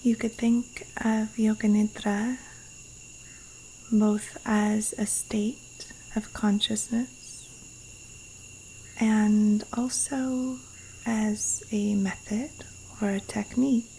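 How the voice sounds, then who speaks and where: A woman guiding a yoga nidra meditation in a hushed, breathy, soothing voice with long pauses, recorded outdoors in the jungle. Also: mid-range voice, level tone, rough recording. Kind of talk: guided meditation. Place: outdoors in a Costa Rican jungle. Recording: faint rainforest insect and cricket noise. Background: insects and rainforest wildlife sounds.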